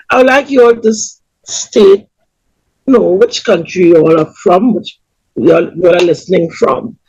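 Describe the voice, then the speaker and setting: A woman with a Trinidadian accent hosting a community webinar over a video call, welcoming people in a lively announcer tone. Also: medium pitch, husky, no room sound. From Trinidad and Tobago. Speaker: webinar host. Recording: dull, lo-fi webinar audio.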